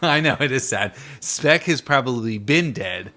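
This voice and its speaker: Playful man with very animated pitch. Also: unhurried, noisy background. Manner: teasing, playful. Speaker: podcast host. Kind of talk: casual movie chat with a child.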